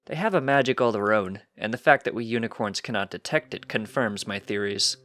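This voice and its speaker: A man's voice: on the low side, fairly quick, fairly bright tone, natural voice. A man voicing a distracted, breezy male unicorn scientist, a doting husband in a storybook reading. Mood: cheerful.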